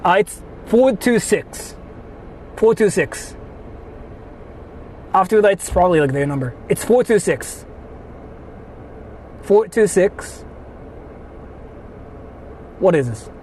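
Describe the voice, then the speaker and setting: A young Japanese man speaks English with a Japanese accent, casually and excitably, swearing freely as he chats with his viewers. His voice sits high and leaps all over the place. Loud street traffic runs behind him. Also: slow pace, long pauses. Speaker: streamer. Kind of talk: casual live-stream chat. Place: outdoors on city streets.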